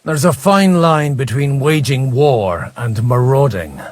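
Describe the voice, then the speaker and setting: An actor playing a self-assured, dry-witted medieval adventurer in a game, assertive and sardonic. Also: male voice, mid-range voice, takes it slowly, no echo. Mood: dry, questioning.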